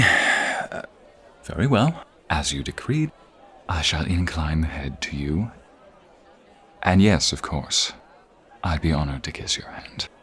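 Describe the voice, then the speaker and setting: A man playing a respectful knight bodyguard in an audio roleplay, earnest and courtly, with wry exasperation and a halting delivery. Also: a bass voice, fairly bright tone. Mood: wry, deferential. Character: respectful armored knight bodyguard, teasing a bratty princess. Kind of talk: audio roleplay acting, one-sided dialogue.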